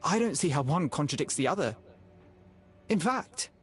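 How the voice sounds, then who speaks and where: An actor voicing a measured, scheming male program in a video game, calm and persuasive. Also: a little high, natural voice. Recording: game audio with faint music.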